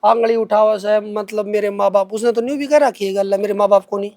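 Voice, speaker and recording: A man's voice: sits high, steady tone, brisk, a little mellow. A young man with a Haryanvi accent defending himself in an unscripted interview, speaking emphatically and colloquially with repeated phrases. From Haryana, India. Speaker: interviewee answering accusations against him.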